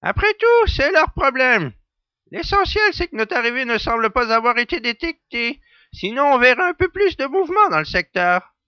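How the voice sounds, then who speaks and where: A man's voice: very high voice, very animated, moderate pace, sharp tone, clean tone, no echo, dull audio, full character voice. A man playing a smug, flippant space adventurer with a mocking streak in an audio drama. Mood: self-satisfied. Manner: self-satisfied, flippant.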